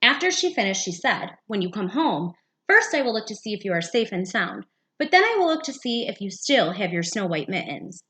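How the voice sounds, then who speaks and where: A woman's voice: medium pitch, big pitch swings, a little fast, a bit bright. A woman, a teacher, reading a picture book aloud for young children. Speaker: second-grade teacher.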